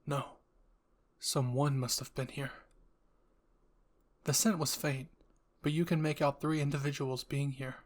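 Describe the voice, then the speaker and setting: A man with a medium-pitched voice reads scripted story narration. He is the storyteller of a listener roleplay. He tells it in the second person, placing the listener inside the tale. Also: level tone, measured pace, long pauses.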